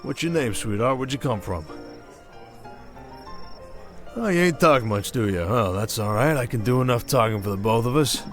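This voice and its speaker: An actor playing a pushy, smooth-talking cowboy barfly with an American Western drawl, leering and folksy, in an ASMR roleplay. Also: male voice, medium pitch, animated, a little fast, soft background music, close to an everyday voice. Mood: flirtatious. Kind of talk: ASMR roleplay acting, Old West.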